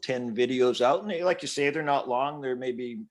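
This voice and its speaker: A man's voice: mid-range voice, normal speed. An older man chatting casually as an interview guest, rambling in a folksy, self-deprecating way about his hobby. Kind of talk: casual chat.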